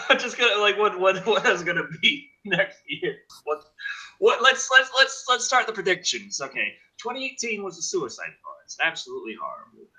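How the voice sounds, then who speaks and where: Guy in his 30s hosting a livestream, super bright animated voice, jokey. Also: high voice, moderate pace, husky, low-quality recording. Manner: animated, joking, reads chat donations aloud. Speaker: host of a livestream show. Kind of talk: casual livestream chat.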